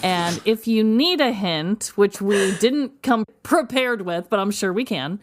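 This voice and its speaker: A woman's voice: medium pitch, animated, unhurried. A woman co-hosting a podcast, chatting casually and enthusiastically as she runs a game. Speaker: podcast co-host. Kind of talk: casual game-show chat.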